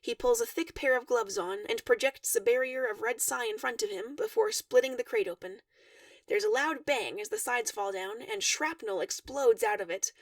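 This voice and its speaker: A woman reading a fan-written story aloud in an expressive narrating style. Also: on the high side, moderate pace, vocal fry, no room sound, recorded from a distance. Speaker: fan fiction reader.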